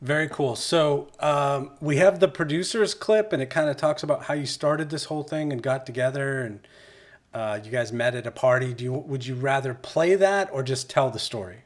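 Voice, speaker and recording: A man's voice: medium pitch, normal speed. Male podcast host, mid-range voice. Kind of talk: casual interview.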